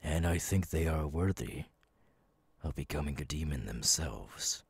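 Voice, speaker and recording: A man plays a cold, cruel demon lord in an ASMR roleplay. The voice is extremely low and very husky, breathing out slow, deliberate threats with imperious command. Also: expressive, dry recording, heavily acted. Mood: cold, haughty. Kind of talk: ASMR audio roleplay monologue.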